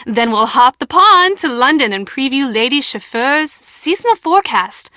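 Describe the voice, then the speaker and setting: A woman's voice: high-pitched, sing-song, moderate pace, bright, forceful tone, no echo, clean studio sound, heavily acted. A woman playing a polished, breezy fashion show presenter reading a TV promo in a game. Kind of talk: scripted TV promo parody.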